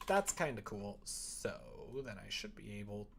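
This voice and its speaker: A man chatting casually on a livestream as he codes, rambling in an animated, opinionated way. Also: lowish voice, unhurried, creaky, slight background noise. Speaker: live-streaming programmer. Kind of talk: casual livestream chat while coding.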